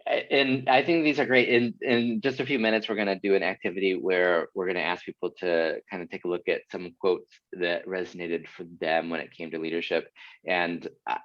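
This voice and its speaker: Deep-voiced man hosting a video call. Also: fairly bright tone, no room sound. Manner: friendly, apologetic, quick asides. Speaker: host of an online workshop. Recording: dull lo-fi call audio.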